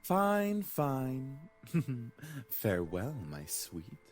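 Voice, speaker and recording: A flirtatious mystery suitor from a visual novel game. His theatrical, seductive voice lingers slowly and swoops dramatically across its range, with music clearly playing behind. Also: medium pitch, wildly swooping pitch, exaggerated voice. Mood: playful, resigned. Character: flirtatious, smooth-talking mysterious male suitor.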